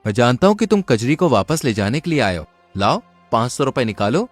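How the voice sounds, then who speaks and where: A man's voice: medium pitch, sing-song, a little fast, dry recording, soft background music, pitched below the performer's own voice. A man voicing a cunning, confident young village man in a cartoon moral story, speaking persuasively. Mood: slyly friendly.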